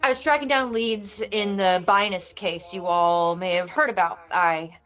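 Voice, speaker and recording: A woman's voice: medium pitch, a little slow, a bit bright, lightly acted, poor audio. A woman playing a tough, blunt, foul-mouthed cop wounded by her past, in a film script read-through. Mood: determined. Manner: earnest, blunt, swearing.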